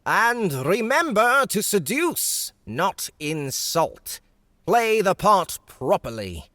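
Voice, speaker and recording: A man's voice: high-pitched, big pitch swings, pushed and bright, dry recording, pitched above the performer's own voice, heavily acted. A man voicing a stern, impatient enchanter teacher in an audiobook. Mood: lecturing. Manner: slow, lecturing.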